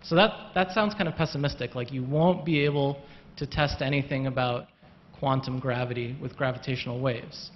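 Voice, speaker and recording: A man's voice: medium pitch, moderate pace, slight background noise. A man on a panel in a lecture hall, explaining patiently with lots of hedging and repeated words. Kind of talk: panel discussion answer. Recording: muffled hall recording with long reverb.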